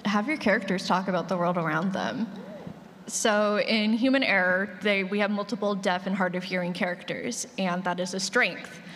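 A woman's voice: medium pitch, fairly even intonation, moderate pace, a bit bright. A woman giving explanations and examples as a panelist, talking informally with some help from notes. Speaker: panelist, audio drama creator. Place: large panel room at a convention. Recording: echoey hall, noisy, roomy pickup.

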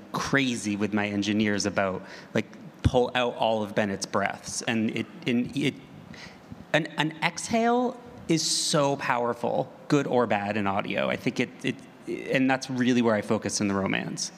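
A man speaking conversationally on a panel as an audio drama creator, explaining in an animated way. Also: mid-range voice. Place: large room at a live conference panel. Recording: reverberant hall, faint room noise.

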